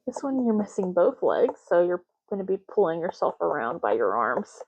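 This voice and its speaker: A soft-spoken woman doing an ASMR roleplay as a clerk, explaining gently and unhurriedly in short, broken phrases. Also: lowish voice, big pitch swings, moderate pace, husky, no echo, dull audio. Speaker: ASMR creator playing an ID card clerk.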